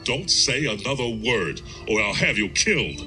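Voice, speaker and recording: Male actor as defiant young king, imperious, lively intonation, audio Bible drama, clear background music. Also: mid-range voice, moderate pace, natural voice. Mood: defiant, threatening. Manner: imperious, curt. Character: proud, defiant, hot-tempered young king. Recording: music bed behind the voice.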